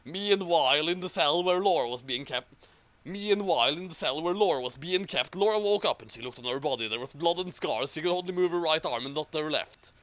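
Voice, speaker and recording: Lively, fairly high-voiced man reading aloud. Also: brisk, no room sound. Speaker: reader and commentator. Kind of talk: amateur story reading with mocking commentary.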